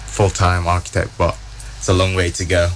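A man's voice: sits low, completely flat delivery, moderate pace, slight background noise. A twenty-year-old man, a university student, answering interview questions in a friendly, easygoing way with lots of ums and yeahs.

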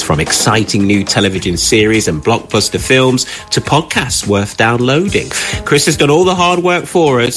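A man's voice: on the low side, a bit bright. A man presenting a radio show as its host. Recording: faint music bed.

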